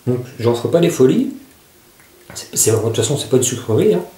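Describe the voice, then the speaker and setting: A man's voice: mid-range voice, big pitch swings, a little fast, dark tone. A man casually reviewing survival gear to camera. He often hesitates and searches for his words. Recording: echoey room.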